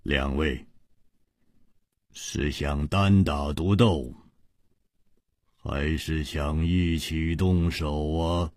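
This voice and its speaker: A man voicing a cold, sinister, contemptuous assassin in a wuxia audiobook, with a dry husky voice and quiet menace. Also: a bass voice, fairly even intonation, pitched below the performer's own voice, heavily acted. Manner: icy, unhurried, understated menace. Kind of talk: Chinese wuxia audiobook acting.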